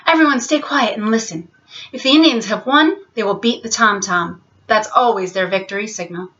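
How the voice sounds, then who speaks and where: A woman's voice: mid-range voice, moderate pace, a bit bright, muffled recording, natural voice. A woman voicing a proud, wounded boy who refuses to grow up, in a storybook reading. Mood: urgent. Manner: emphatic, stubborn.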